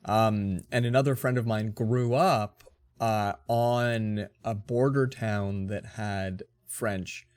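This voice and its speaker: A man's voice: lowish voice, steady tone, slow pace, darkish tone, clear, resonant tone. Rambling man in his forties. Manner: rambling, chatty storytelling. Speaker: let's play host. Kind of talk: casual gameplay commentary.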